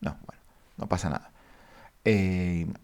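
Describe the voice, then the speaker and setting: Deep gravelly-voiced man teaching databases. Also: darkish tone. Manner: halting, many fillers, addresses viewers formally. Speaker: database instructor. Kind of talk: screen-share coding lesson.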